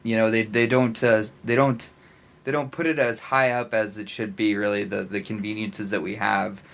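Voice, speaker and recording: An American man chatting casually as a podcast co-host, often halting as he searches for his words. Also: on the low side, little pitch movement, vocal fry, a bit bright.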